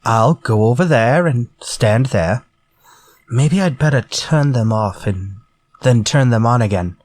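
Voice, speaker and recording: An actor playing a menacing, politely theatrical murderer in a retro-style radio drama. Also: male voice, medium pitch, darkish tone, close to an everyday voice. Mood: coaxing. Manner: courtly, measured, theatrical. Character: menacing murderer from a story, politely coaxing then vengeful.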